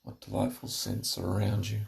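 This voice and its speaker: A man narrating a scripted guided relaxation in a soothing, deliberate, instructional way, with a gravelly voice. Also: fairly low pitch, completely flat delivery.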